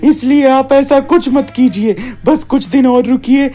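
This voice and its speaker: A woman's voice: sits high, unhurried, dark tone. A woman voicing an anxious male disciple of a holy man in a cartoon story, urgent and respectful as he warns. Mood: alarmed, imploring. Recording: background music under the voice.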